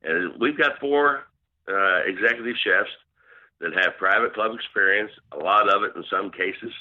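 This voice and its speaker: A man's voice: on the low side, moderate pace. A man answering interview questions as a club management consultant, explaining steadily with many fillers. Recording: tinny phone line.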